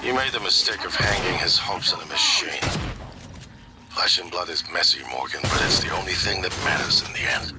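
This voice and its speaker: An actor playing a philosophical, commanding mentor with a measured, sententious way of talking. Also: male voice, low voice, loud music behind the voice, natural voice. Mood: reflective, approving.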